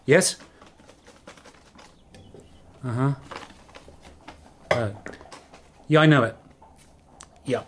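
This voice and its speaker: A man's voice: mid-range voice, extremely slow, pauses often. A brusque vet from London in a radio drama. He answers in short, matter-of-fact lines. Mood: curt. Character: brusque young vet, cool and businesslike.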